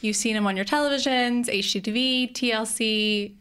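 A woman's voice: a little high, normal speed, fairly bright tone, smooth. A woman co-hosting a podcast, chatting casually and laughing often. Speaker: podcast co-host.

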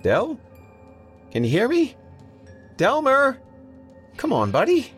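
A man's voice: deep voice, very animated, measured pace, gravelly, fairly bright tone, breathy edge, soft background music, voice pushed up, heavily acted. A man voicing a tense, profane young man who urgently takes charge in a crisis in an audiobook story. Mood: worried, urgent.

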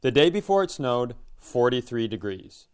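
A man's voice: mid-range voice, big pitch swings, normal speed, darkish tone, clear, resonant tone. An American man chatting and telling funny stories casually as a podcast co-host. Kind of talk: casual comedic chat and storytelling.

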